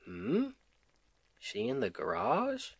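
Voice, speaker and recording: Man acting as slow, sweet Southern husband. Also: on the high side, wildly swooping pitch, creaky, slightly rough, heavily acted. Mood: playful, puzzled. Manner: tender, affectionate, drawling. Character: sweet, devoted Southern husband home from work. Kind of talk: ASMR roleplay, intimate and domestic.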